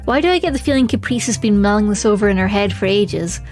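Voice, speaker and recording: A woman's voice: medium pitch, lively intonation, quick pace, darkish tone. A woman streaming a game, chatting expressively and reading the story text aloud. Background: faint music.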